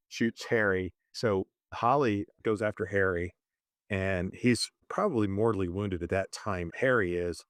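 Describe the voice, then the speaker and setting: A man discussing films conversationally as a podcast host, in a halting, self-correcting way. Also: fairly low pitch, dry recording, studio quality. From moved to Zambia at eleven, schooled in Kenya.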